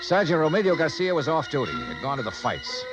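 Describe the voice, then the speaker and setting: An actor playing a dogged, wry insurance investigator in 1950s radio noir style, with clipped delivery. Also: male voice, a little high, huge pitch swings, fairly quick. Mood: dry narration. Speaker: lead actor and narrator. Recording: vintage radio drama with music.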